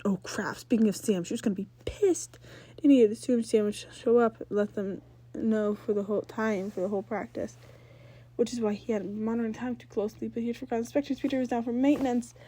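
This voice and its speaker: A woman's voice: fairly high pitch, steady tone, fast, mellow. A woman reading fan fiction aloud as a story narrator, sometimes stumbling and rereading phrases.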